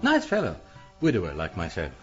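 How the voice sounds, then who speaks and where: An actor playing a genteel, scheming British publisher in a radio mystery, speaking in an urbane, theatrical, condescending drawl. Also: male voice, low voice, huge pitch swings, brisk, a bit bright, exaggerated voice. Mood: smug scheming. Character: genteel, scheming widowed male publisher, calmly plotting murder. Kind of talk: radio mystery drama acting. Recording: dull band-limited audio with music.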